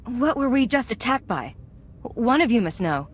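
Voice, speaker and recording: A woman's voice: a little high, big pitch swings, moderate pace, husky. An actress playing a cool female secret agent in charge of a gang in a video game, terse and authoritative. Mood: businesslike.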